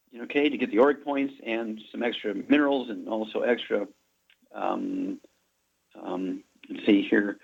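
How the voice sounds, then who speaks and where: A man in his seventies hosting a radio show, rambling through a supplement pitch and correcting himself as he goes. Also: vocal fry, slightly rough. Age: 70s. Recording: muffled radio broadcast.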